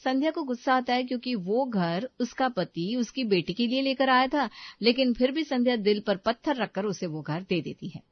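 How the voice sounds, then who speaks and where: A woman's voice: on the high side, expressive, moderate pace, no echo, dull audio. A woman reading a scripted moral story as its narrator.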